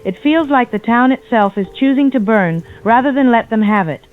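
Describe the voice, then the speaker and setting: A woman's voice: on the high side, animated, moderate pace, lightly acted. A woman playing a shaken, grieving survivor calling in to a radio drama, grave and haunted. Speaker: caller. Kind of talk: radio drama phone-in account. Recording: thin tinny phone line, music behind.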